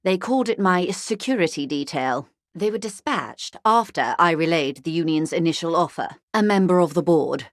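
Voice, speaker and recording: A genteel, worldly negotiator acted by a woman speaks in a slightly low female voice with cool, ironic detachment. Her delivery is measured and precisely worded, as scripted game dialogue. The sound is dry, with no room around it. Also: lively intonation, no echo, lightly acted. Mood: grave, confiding.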